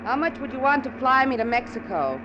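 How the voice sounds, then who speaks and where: A woman's voice: high-pitched, big pitch swings, fairly quick. An actress playing a cool, guarded big-city American woman, polite and reserved, in a 1940s radio drama. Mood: guarded, persuasive. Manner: polite, reserved, clipped replies. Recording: dull, noisy vintage radio recording.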